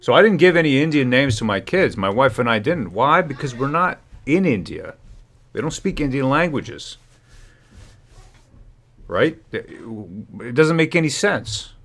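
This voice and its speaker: A man's voice: medium pitch, big pitch swings, a little slow, a bit bright. A man hosting a livestream, rambling sarcastically and talking over clips. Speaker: livestream host. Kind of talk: casual livestream commentary.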